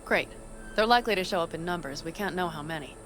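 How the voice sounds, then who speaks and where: Woman acting blunt, low-voiced tough nomad woman. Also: quick pace, fairly bright tone, faint music behind, natural voice. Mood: brisk, resolute. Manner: blunt, sardonic, plain-spoken with casual swearing. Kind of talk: naturalistic video game voice acting.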